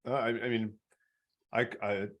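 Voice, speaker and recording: Low-voiced earnest baritone man. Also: flat delivery, measured pace, dull audio. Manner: earnest, repetitive restarts. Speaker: podcast host. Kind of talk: personal storytelling in interview.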